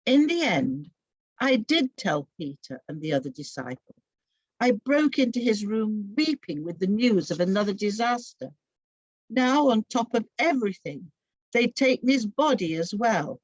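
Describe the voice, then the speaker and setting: Female narrator for scripted Bible drama, unhurried, solemn yet expressive, dry and slightly muffled recording. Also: mid-range voice, no echo. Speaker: storyteller.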